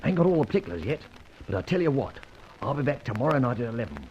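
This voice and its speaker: A man acting a wry detective passing himself off as a cocky crook in a vintage radio drama. His theatrical delivery swings widely in pitch and is peppered with underworld slang. The recording is muffled, with steady hiss. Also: very fast, close to an everyday voice. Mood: boastful, cocky. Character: man detective posing as a boastful small-time crook.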